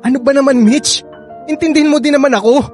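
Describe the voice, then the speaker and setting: An actor playing an evasive, complaining husband in a Filipino radio drama, defensive and whining. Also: male voice, extremely high pitch, fast. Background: background music.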